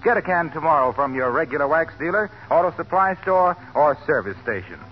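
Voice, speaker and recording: A man's voice: fairly high pitch, animated, brisk. An American man reading a scripted 1940s radio commercial as the announcer, earnest and persuasive. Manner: earnest, persuasive sales delivery. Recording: muffled, faintly noisy 1940s radio recording.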